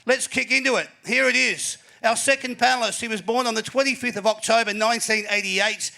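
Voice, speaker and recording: A high, gravelly Australian man hosts a live footy panel show in front of a crowd. He sounds bright and energetic, building up each introduction like an announcer, and he fills the gaps with plenty of ums. His voice sits high for a man. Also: a little fast. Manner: energetic announcer, talks with many fillers. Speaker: host. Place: live venue before an audience.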